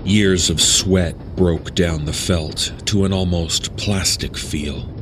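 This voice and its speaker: A man voicing a weary male traveler who narrates his own horror tale in a slow, brooding, deliberate way. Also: low-pitched, fairly even intonation, noisy background, voice pushed down. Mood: brooding, subdued. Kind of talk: dramatic first-person horror story narration.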